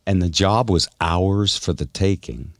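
A man's voice: low voice, unhurried, gravelly, no echo, studio quality. A man hosting a casual radio chat, telling stories and needling his co-hosts with probing questions. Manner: teasing, probing. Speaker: radio show host.

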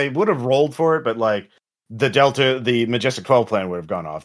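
Male game master, low voice, casual and sweary, explains scenarios with halting delivery. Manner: halting, trailing into pauses, sweary. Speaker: game master of a tabletop role-playing podcast.

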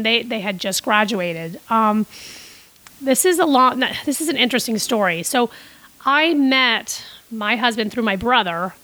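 A woman's voice: on the high side, pushed and bright, poor audio. A middle-aged woman who grew up in Costa Rica, telling long stories as a podcast guest with many restarts and fillers. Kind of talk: casual interview storytelling.

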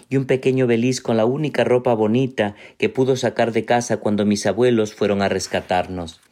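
A man reading a short story in the voice of a teenage girl narrating her own past, calm and measured. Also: fairly low pitch, natural voice. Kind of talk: read-aloud short story.

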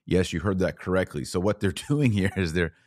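A man's voice: low voice, a little fast, a little mellow, no room sound, studio quality. An American man hosting a podcast, giving news commentary in a conversational, emphatic manner. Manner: conversational, emphatic, rhetorical questions. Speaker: podcast host. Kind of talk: news commentary to a live online audience, reading out quotes.

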